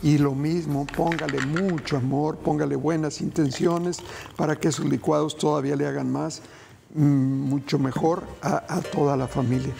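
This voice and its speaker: Gravelly-voiced cooking show host. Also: male voice, on the high side, steady tone, normal speed. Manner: folksy, addresses viewers as family, gentle advice. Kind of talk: cooking demonstration. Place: TV studio kitchen set.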